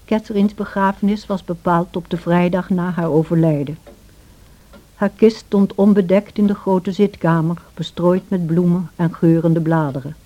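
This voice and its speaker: A woman playing a sorrowful, gentle servant who narrates past events in a radio play in a measured way. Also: fairly low pitch, fairly even intonation, lightly acted. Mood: measured, sombre. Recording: dull old radio play recording.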